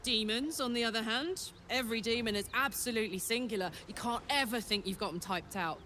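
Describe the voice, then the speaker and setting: A woman's voice: a little high, brisk, pushed and bright, slight background noise. A woman playing a brash, hearty tiefling warrior in a video game, with a blunt, sweary, exuberant manner. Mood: animated, confident. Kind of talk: video game character dialogue.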